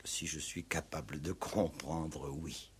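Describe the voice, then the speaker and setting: A man playing a gruff, insistent police commissioner in a 1960s French-language radio play, with colloquial, probing talk and hesitant repetitions. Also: extremely low pitch, fairly even intonation, takes it slowly, a little hiss or hum. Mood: puzzled. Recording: vintage 1960s radio drama recording.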